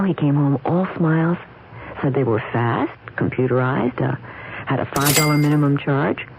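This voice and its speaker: A woman playing a wry, chatty housewife in a conversational radio commercial, talking with casual hesitations. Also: lowish voice. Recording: dull old radio, faint noise.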